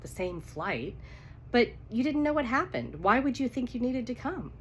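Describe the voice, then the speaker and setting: A woman's voice: a little high, sing-song, moderate pace, a little hiss or hum, pitched above the performer's own voice. A woman with a cold voicing an anxious, stubborn jilted bride in a romance novel reading. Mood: surprised, protesting. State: congested with a cold.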